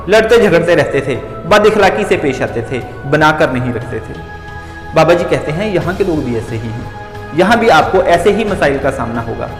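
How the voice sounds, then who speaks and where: A man narrating moral stories as a motivational storyteller, speaking emphatically and repeating phrases for effect. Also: on the high side. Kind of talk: scripted moral storytelling narration, addressing listeners as friends. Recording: echoey long reverb with music behind. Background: music.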